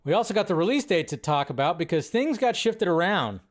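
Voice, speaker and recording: Casting: male film news host, slightly high-pitched voice, off-the-cuff and rambling, mildly profane. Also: big pitch swings, a little fast. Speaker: host of a live film and comics news show. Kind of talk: casual unscripted commentary on film news.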